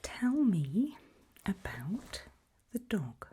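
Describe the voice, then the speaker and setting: A woman playing a calm, reassuring doctor in an ASMR roleplay, speaking in a gentle breathy whisper. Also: medium pitch, very slow, a little hiss or hum. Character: calm reassuring female doctor running a creepy memory test.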